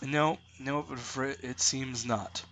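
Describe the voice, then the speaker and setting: A man narrating as dungeon master of a tabletop game, halting with many fillers, congested with a lingering cough. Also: mid-range voice, steady tone, fairly bright tone, a little hiss or hum. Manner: halting, many ums and uhs.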